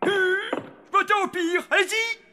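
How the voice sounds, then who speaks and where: High-pitched pompous cartoon colonel. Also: male voice, steady tone, a little slow. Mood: exasperated. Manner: pompous, formal military phrasing. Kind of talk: French cartoon dub acting. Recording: loud cartoon music behind the voice.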